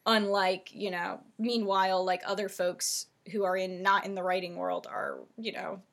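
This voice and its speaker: A woman's voice: medium pitch, level tone, moderate pace, a bit bright. A woman in her thirties co-hosting a casual podcast, rambling with lots of "like" and "um". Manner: rambling, filler-heavy, lots of "like". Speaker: podcast co-host.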